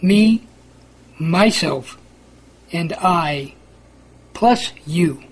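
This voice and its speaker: Guy reading out a poem, high husky voice, kinda sing-song and emphatic. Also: expressive. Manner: measured, emphatic, sing-song alternation between contrasting lines. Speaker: poet reciting a poem. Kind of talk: spoken religious poem recitation.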